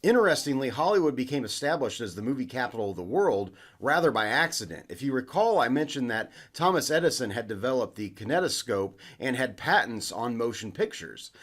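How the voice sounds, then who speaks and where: A man's voice: medium pitch, sing-song, a little fast, few pauses, fairly bright tone. A man with an American accent narrating a scripted educational lesson in an emphatic explainer style. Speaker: host of educational history videos.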